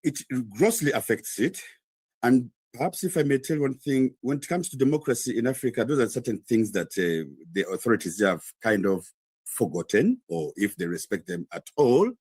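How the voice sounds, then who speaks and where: Ugandan guy reporting in for a news chat, kinda husky voice, dry close sound, talks it through with lots of you knows. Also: medium pitch, lively intonation, darkish tone, no echo. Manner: measured, explanatory, with frequent fillers. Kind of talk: live news interview.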